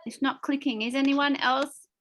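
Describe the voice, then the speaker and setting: A woman co-hosting an online class, upbeat and quick to laugh as she reads out poll results. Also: high-pitched, steady tone, fairly bright tone. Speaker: co-host.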